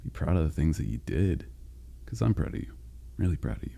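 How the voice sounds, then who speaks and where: A man voicing a caring male sleep paralysis demon, speaking softly, with halting reassurance, in a comforting roleplay monologue. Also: big pitch swings, full character voice. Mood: soothing. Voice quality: deep gravelly growl. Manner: soft, reassuring, halting and unhurried.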